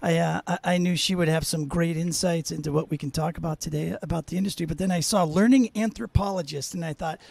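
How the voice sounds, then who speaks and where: A man's voice: a little high, fairly even intonation, fast talker, gravelly, few pauses, darkish tone. A middle-aged man hosting a casual video-call show, enthusiastic and rambling, often repeating himself. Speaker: podcast host. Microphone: studio mic on a stand.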